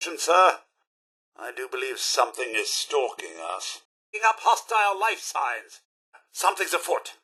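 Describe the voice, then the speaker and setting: A man voicing a fussy, loyal robot butler in a video game, with a British accent, a raspy edge and a formal, pompous, theatrical delivery. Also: mid-range voice, very animated, normal speed, pushed and bright, exaggerated voice. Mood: wry, put-upon. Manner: formal, pompous, deferential, exclamatory. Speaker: companion servant in a video game.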